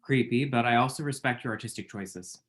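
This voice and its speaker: A man's voice: mid-range voice, fairly quick, fairly bright tone, natural voice. An actor playing an awkward, wry, lovestruck young man in a screenplay table read, hesitant and self-deprecating. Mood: musing.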